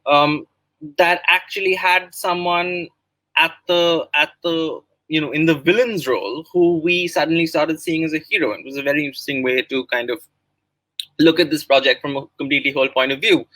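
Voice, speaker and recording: Bright-voiced Indian man, close and dry. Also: fairly high pitch, normal speed, no room sound, rough recording. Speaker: writer and guest speaker. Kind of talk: spoken promotional presentation of a project.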